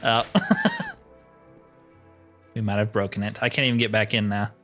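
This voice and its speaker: A man's voice: lowish voice, flat delivery, unhurried, a bit bright, faint music behind. Man musing through casual game commentary. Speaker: let's player narrating a roleplay playthrough. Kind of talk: casual gameplay commentary, thinking aloud.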